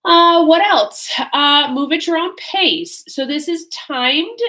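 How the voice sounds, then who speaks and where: A woman fitness coach with a bright, ringing voice, a little congested today. She chats in a friendly, rambling way with plenty of ums and uhs, recorded in a home exercise space on the camera mic. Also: high-pitched, slow pace, poor audio. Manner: friendly, rambling, many fillers. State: slightly congested. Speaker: fitness instructor. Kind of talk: chatty class introduction.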